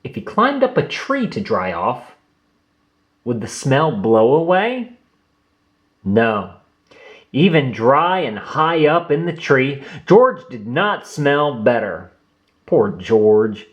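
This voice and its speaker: Slow, very animated man reading a storybook. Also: mid-range voice, highs cut off. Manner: expressive, theatrical emphasis with drawn-out phrases. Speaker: narrator reading a children's picture book. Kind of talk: read-aloud storytelling for young children.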